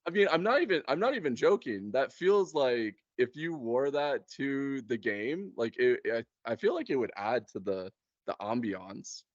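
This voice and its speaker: A man hosts an informal conversation with keen, easygoing enthusiasm. His pitch sits in an average male range. The sound is very dry and slightly muffled, like low-fidelity call audio. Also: sing-song, moderate pace, no room sound. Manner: enthusiastic, frequent laughter. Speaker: podcast host. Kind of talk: casual chat about role-playing games and films.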